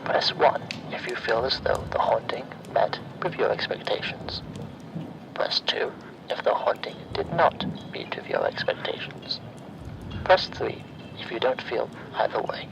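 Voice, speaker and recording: A man playing a sinister automated phone survey voice in a horror ASMR roleplay, breathy and often near-whispered, speaking in measured, formulaic announcements. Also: mid-range voice, lo-fi sound. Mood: eerily polite.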